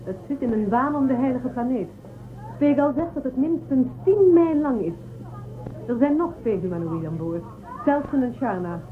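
An actress playing a haughty, probing woman official of a space empire in a radio drama, condescending and measured. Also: high-pitched, lively intonation, phone-quality sound. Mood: insistent. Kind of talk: Dutch radio drama acting. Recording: thin band-limited, noisy old radio recording.

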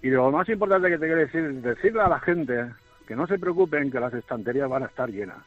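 Nervous Spanish trucker on a dull phone line. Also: male voice, on the high side, animated, fast talker, no echo. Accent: Spain. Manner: emphatic, repetitive, plaintive. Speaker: truck driver calling in. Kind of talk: phone-in testimony. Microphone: phone call. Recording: dull radio phone line, faint music.

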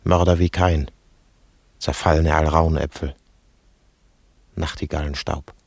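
An actor playing a grieving shoemaker in a radio play, speaking in halting, weighty phrases with a gravelly voice. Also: male voice, a bass voice, steady tone, a little mellow, no echo, exaggerated voice. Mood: pleading, searching. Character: grieving shoemaker, a man mourning and searching. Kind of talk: slow solemn radio play recitation.

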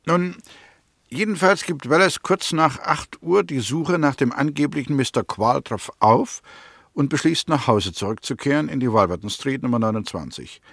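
An actor playing a coolly accusing prosecutor in a 1960s radio drama, measured, pointed and methodical. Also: male voice, medium pitch, creaky, a bit bright, no echo, studio quality. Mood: pointed, assured. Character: coolly accusing male prosecutor reconstructing a suspect's movements.

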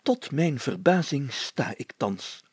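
A man's voice: mid-range voice, sing-song, slow, husky, no echo, pitched above the performer's own voice, full character voice. A man voicing a pompous, blustering village mayor in a story, talking in grand formal words broken by groans and interjections. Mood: boastful, wincing. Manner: pompous, old-fashioned formal wording, full of groans and interjections.

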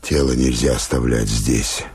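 A man playing a grave, weary elderly doctor in a radio drama, speaking deliberately and gently with resignation. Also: fairly even intonation, dry recording, heavily acted. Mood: cautious, grave. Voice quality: gravelly, husky deep voice. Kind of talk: Soviet radio drama acting.

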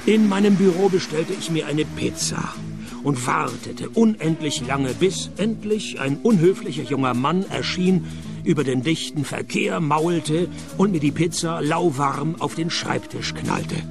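A man's voice: fairly high pitch, a little slow, vocal fry, music in the background. A man with a Swiss German accent playing a dry, sardonic private detective who narrates a radio play. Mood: deadpan. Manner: deadpan, sardonic.

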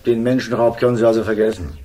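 An actor playing a condescending, menacing crime boss in a German radio thriller, curt, commanding and sardonic. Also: male voice, on the low side, flat delivery, fast, warm tone, close to an everyday voice. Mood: dismissive.

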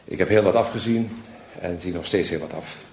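An actor playing an earnest support group leader in a radio drama, measured and reassuring as he addresses a group. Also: sits low, darkish tone, slight background noise. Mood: earnest, welcoming. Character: steady, earnest male support group leader, himself a struggler.

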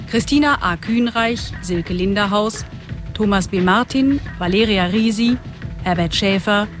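A woman announcer reading the credits of a radio drama in a formal, scripted way. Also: mid-range voice. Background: music.

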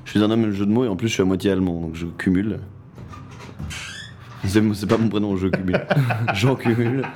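A man's voice: on the low side, brisk, darkish tone. A man with a French accent making playful casual banter as a band guest in a radio studio. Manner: joking, playful. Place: radio studio with several people. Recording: clear background noise, clicks in studio.

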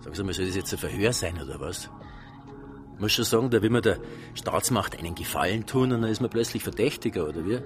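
An actor playing a slippery, self-important nightclub manager in a radio drama, cocky and evasive, with a Bavarian accent. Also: male voice, fairly low pitch, expressive, fairly quick, music in the background, natural voice. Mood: indignant. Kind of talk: naturalistic radio drama acting.

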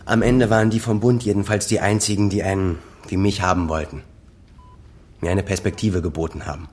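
A man's voice: low-pitched, steady tone, a little fast, a little mellow, lightly acted. An actor playing a bitter, sarcastic middle-aged son who accuses his father in a naturalistic radio drama. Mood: defensive.

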